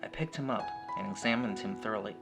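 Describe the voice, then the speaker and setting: A man narrating a first-person horror story in a conversational, somewhat halting way. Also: lowish voice, vocal fry. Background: loud plucked guitar music.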